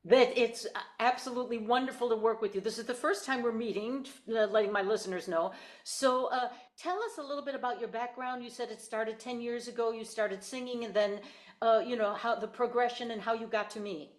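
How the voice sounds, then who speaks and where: A woman's voice: a little high, steady tone, a little fast. A woman hosting a podcast as a voice teacher, introducing and questioning her guest in a chatty, conversational way. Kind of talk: podcast interview.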